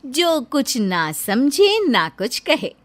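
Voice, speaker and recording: A woman's voice: high-pitched, sing-song, extremely slow. A woman storyteller giving scripted narration of a moral story.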